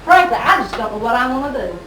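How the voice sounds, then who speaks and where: A woman performing an amateur comic skit monologue in a halting way, stumbling over her lines. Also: fairly high pitch, very animated. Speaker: amateur puppet show performer. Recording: distant noisy echoey home video.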